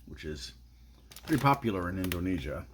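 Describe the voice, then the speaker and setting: Middle-aged man, low voice, lively up-and-down tone, dry musing food-review chat, phone at arm's length. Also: a little fast, noisy background. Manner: dry, low-key musing with sudden excited outbursts. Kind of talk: casual taste-test vlog. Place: small indoor room at home. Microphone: phone camera at arm's length.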